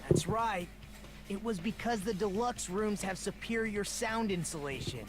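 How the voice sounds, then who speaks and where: An actor playing a calm, unsettling young male student in a game, speaking in a measured way and asking leading questions. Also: high-pitched. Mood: praising. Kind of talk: game voice acting. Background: loud game music.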